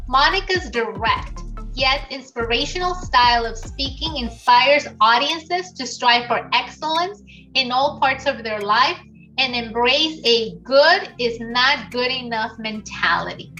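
A middle-aged woman host with a high, bright voice reads out a formal welcome and asks questions of her guest. She sits back from the mic on a video call, and soft music plays faintly behind her. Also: lively intonation, unhurried, far from the mic, poor audio. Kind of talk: scripted introduction and interview questions.

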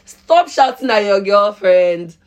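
A woman with a Nigerian accent hosting a podcast in casual, slangy, animated chat. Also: medium pitch, takes it slowly, a crowd in the background. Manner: animated, laughs often, informal with slang. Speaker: podcast host.

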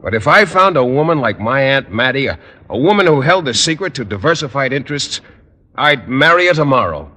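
A man's voice: medium pitch, very animated, brisk, sharp tone. An actor playing an earnest, oblivious man who teaches school, literal-minded and hesitant, in an old radio sitcom. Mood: earnest, admiring. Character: earnest, naive, oblivious bachelor male schoolteacher. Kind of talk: 1940s-50s radio sitcom acting. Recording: hissy vintage radio recording.

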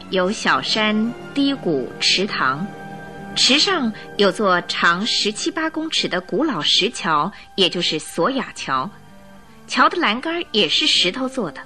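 A woman's voice: mid-range voice, pushed and bright. A woman reading dramatic scripted narration for a radio drama.

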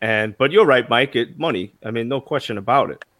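A relaxed, agreeable man chimes in on the conversation. His voice is mid-pitched and even. The recording is dry and close. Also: a little fast, dry recording. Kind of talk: casual podcast chat.

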